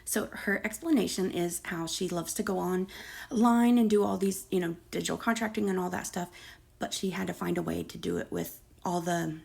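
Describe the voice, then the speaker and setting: Creaky-voiced woman, sarcastic commentary. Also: on the low side, animated, normal speed, a little mellow. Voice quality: creaky, gravelly. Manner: sarcastic, mocking asides. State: losing her voice. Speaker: commentary creator. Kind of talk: casual gossip commentary reading posts aloud.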